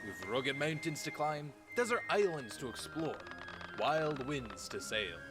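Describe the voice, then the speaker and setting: Man playing a slow, sweeping storybook animal uncle. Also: mid-range voice, sing-song. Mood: warm, inviting. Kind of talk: audio drama letter voice-over. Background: music.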